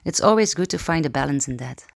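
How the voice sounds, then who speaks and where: A woman from Belgium, a painter calmly reading a personal statement about her work. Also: sits low, fast talker, gravelly.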